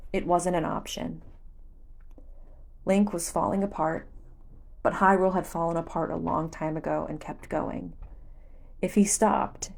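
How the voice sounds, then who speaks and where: A woman's voice: fairly low pitch, gravelly, darkish tone. A woman reading a story aloud as scripted audiobook narration. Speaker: audiobook narrator of fan fiction.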